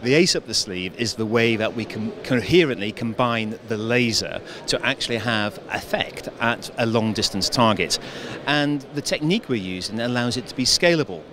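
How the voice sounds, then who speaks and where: A defense engineer explaining equipment in an interview, measured with some hesitations. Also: male voice, mid-range voice, crowd noise.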